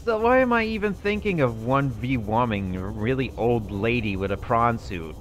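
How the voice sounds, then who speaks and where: A man's voice: mid-range voice, lively intonation, moderate pace. A man thinking aloud in character while he plays a survival game, musing and hesitant as he goes. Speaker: roleplay gaming channel host.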